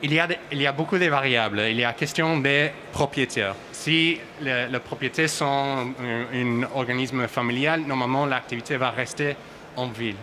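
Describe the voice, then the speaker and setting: An Australian man, English his first language, speaking on a conference panel in a bright, forward voice. He stumbles and restarts as he reaches for words, and a faint hum of the hall sits behind him. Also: mid-range voice. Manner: halting, searching for words, many restarts and fillers. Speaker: researcher and panelist.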